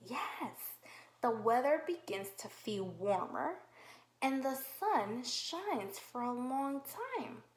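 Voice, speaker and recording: Slow, sing-song kindergarten teacher reading aloud. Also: female voice, high voice, sing-song. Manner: slow, encouraging, exaggerated sing-song questioning. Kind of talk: reading a picture book aloud to young children. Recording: roomy echo.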